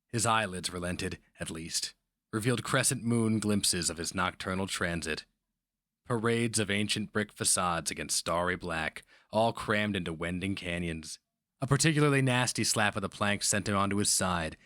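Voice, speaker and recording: Casting: man with a low register for solemn, theatrical fantasy audiobook narration, recorded dry. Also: sharp tone, dry recording. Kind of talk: dramatic scripted fantasy narration.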